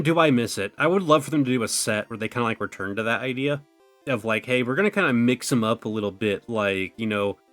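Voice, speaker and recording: A young man with a worn, washed-out voice, chatting casually as a host while opening trading cards, rambling and filling his talk with "like" and "you know.". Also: medium pitch. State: voice going out, thin and washed out. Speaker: card-opening YouTuber.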